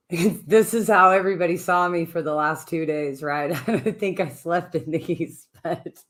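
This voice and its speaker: Raspy-voiced chatty woman co-host. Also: on the low side, unhurried. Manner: chatty, laughing, lively. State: raspy from a trip. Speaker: radio co-host. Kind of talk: casual on-air chat.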